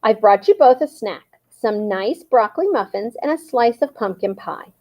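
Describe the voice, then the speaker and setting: Kind storybook mother, read by a woman, gentle, smiling tone. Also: fairly high pitch, expressive, moderate pace, no room sound.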